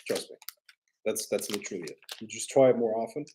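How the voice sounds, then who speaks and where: A man chatting casually as a livestream host, halting and offhand, often repeating his phrases. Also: fairly low pitch, background noise. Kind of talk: casual rambling livestream chat.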